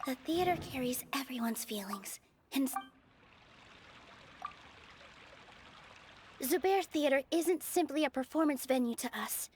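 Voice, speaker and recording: A woman playing an earnest, gentle young female dancer in a video game, speaking with sincere care. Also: sits high, lively intonation, moderate pace, noisy background. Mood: heartfelt, earnest. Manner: sincere, earnest, careful phrasing.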